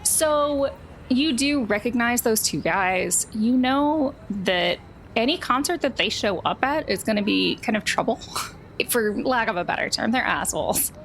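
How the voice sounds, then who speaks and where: A woman's voice: a little high, expressive, measured pace, a bit bright, a little hiss or hum, rough recording. A woman casually narrating a tabletop roleplaying game as game master.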